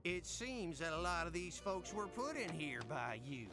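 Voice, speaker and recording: A man's voice: sits high, sing-song, normal speed. A man playing a corrupt prison warden in a video game, mock-friendly and sarcastic. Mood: mocking menace. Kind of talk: video game villain acting. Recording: game music behind the voice.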